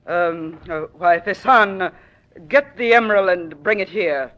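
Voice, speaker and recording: An actress playing a nervous, middle-aged wealthy mother in a 1940s radio drama, flustered, halting and prone to hysteria. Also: fairly low pitch, fairly bright tone. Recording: dull 1940s radio recording.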